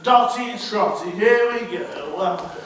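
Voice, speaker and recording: A boisterous British pantomime dame, acted by a man, sounds distant in a very echoey stage space. The village woman is middle-aged, motherly and cheeky, with a high voice. She chats in an exaggerated theatrical way, coaxing the audience along. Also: takes it slowly, fairly bright tone. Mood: coaxing, rousing. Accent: British English. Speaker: pantomime performer. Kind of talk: live pantomime monologue with audience address. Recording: roomy echoing stage, distant mic, faint noise.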